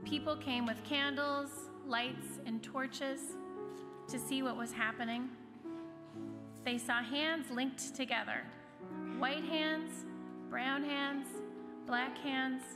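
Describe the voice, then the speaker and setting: A woman's voice: sits high, fairly even intonation, slow. A woman reading a children's storybook aloud as narrator in a large church space. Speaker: story reader. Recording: reverberant hall, music behind the voice. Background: soft instrumental music.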